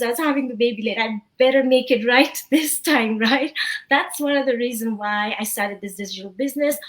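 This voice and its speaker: Woman, 30s, from Nepal, interview guest, a bit unwell, reflective and chatty, voice pitched a little high. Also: low-quality recording. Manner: chatty, reflective, says "you know" often. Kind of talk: casual interview.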